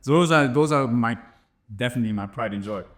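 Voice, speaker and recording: A man with a faint German accent talks about records as a guest in a relaxed interview. He rambles, restarts his sentences and keeps checking in with the listener. His voice sits at an ordinary middle pitch. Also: quick pace. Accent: slight German. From Germany and Namibia. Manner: rambling, many restarts and "right?" tags. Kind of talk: casual interview storytelling.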